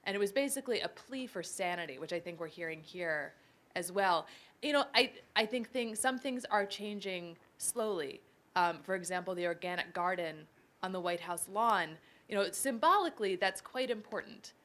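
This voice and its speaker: A woman speaking as an academic panelist, thinking aloud in a thoughtful, measured way. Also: medium pitch, a bit bright.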